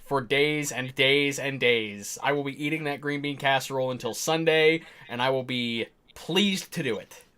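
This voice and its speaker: A man's voice: medium pitch, normal speed, few pauses, bright, forceful tone, smooth. A man speaking casually as a show's crew member who relays comments to the hosts. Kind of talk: casual chat.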